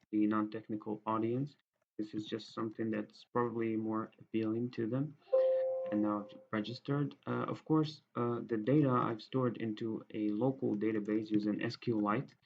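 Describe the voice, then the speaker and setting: Low, creaky-voiced Egyptian man. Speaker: student programmer presenting a project. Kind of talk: software demo narration, explanatory. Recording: dull, lo-fi microphone sound.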